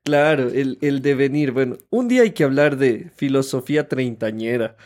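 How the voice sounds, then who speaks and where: A man's voice: mid-range voice, lively intonation, moderate pace, darkish tone. A man in his thirties with an Ecuadorian Spanish accent, co-hosting a conversational podcast. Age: 30s. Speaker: podcast co-host.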